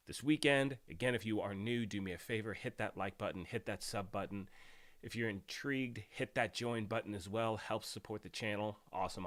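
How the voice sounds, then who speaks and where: A man with a fairly low voice chats loosely on a gaming live stream, greeting viewers by name and circling back over his own words. He is getting over a cold, so his voice comes out slightly raspy. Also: fairly bright tone. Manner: chatty, greets viewers by name, rambling restarts.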